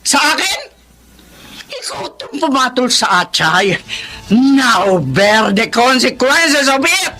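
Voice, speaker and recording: A woman's voice: high voice, very animated, slow pace, sharp tone, soft background music, heavily acted. An actress playing a domineering, scornful wealthy mother in a Filipino melodrama, haughty and accusing, with a Filipino accent and bits of English. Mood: cold, threatening. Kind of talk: melodramatic radio drama acting.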